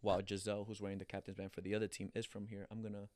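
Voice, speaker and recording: Low-pitched colombian guy, flat-ish voice, chatty and full of restarts, dry studio sound. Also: racing, dry recording. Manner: animated storyteller, acts out quotes, frequent restarts. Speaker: guest, former professional soccer player. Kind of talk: casual storytelling interview.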